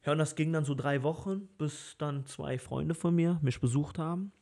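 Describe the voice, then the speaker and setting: A man's voice: on the high side, normal speed, creaky, a little mellow. A man in his thirties, a party organizer, chatting casually on a podcast with lots of fillers and easy laughter. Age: 30s. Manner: easygoing, laughs often, many fillers.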